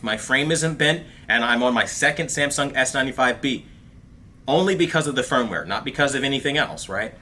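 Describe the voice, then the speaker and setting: Chatty man with a bright, forceful voice. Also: medium pitch, slight background noise. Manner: chatty and animated, lots of fillers, calls viewers bro and dude. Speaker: host of a TV review livestream. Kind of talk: casual livestream chat reading viewer comments.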